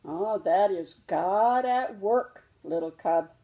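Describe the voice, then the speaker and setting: A woman voicing a gentle, patient mother bear who explains things reassuringly in a children's storybook. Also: medium pitch, slow pace, narrow, tinny audio. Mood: awed, tender. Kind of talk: children's storybook read-aloud.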